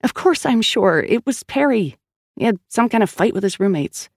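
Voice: medium pitch, animated, normal speed, dry recording, clean studio sound, pitched above the performer's own voice. An audiobook narrator playing a tense, stubborn woman police officer who speaks insistently and with exasperation. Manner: insistent, emphatic.

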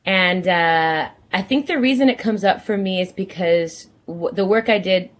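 A woman's voice: fairly low pitch, normal speed. A woman from California, a guest actor telling her story in an earnest, reflective way.

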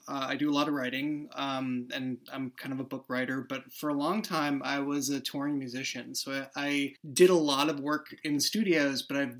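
A man's voice: a little high, a bit bright. A man, the podcast's creator and writer, speaking in a casual interview with dry humor and earnest reflection.

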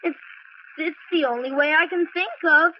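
A woman's voice: high voice, moderate pace, background noise, lightly acted. An actress playing a stubborn, pert little girl with a violin in a 1940s radio drama. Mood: earnest. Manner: pert, insistent, self-assured. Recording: muffled old radio broadcast.

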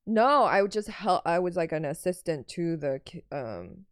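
A woman stand-up comedian chatting as a podcast guest with a low-key, understated delivery. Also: expressive, moderate pace, no echo. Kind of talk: casual podcast chat.

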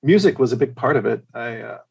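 A man with a general American accent and a gravelly, creaky voice at an ordinary male pitch. He talks casually as a podcast guest, feeling his way haltingly through his answers. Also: expressive, a little mellow, dull audio. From United States and Germany. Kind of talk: casual chat.

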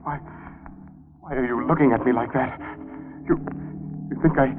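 A man's voice: medium pitch, normal speed, narrow, tinny audio, music in the background, lightly acted. A man acting in a 1940s radio drama, playing a sickly, corrupt lawyer who pleads fearfully in faltering, repeated phrases. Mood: desperate. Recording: scratchy, band-limited 1940s radio recording.

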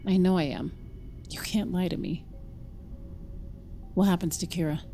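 A woman's voice: on the low side, fairly even intonation, a little slow, gravelly, dark tone, soft background music. A woman playing a wary, resigned mother submitting to a ritual in an audio drama, subdued and guarded.

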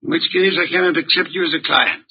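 An actor playing an imperious, grumpy, erudite detective genius in an old radio drama, speaking in curt, precise, formal sentences. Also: male voice, fairly high pitch, fast, slightly rough. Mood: stern, commanding. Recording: muffled vintage radio drama recording.

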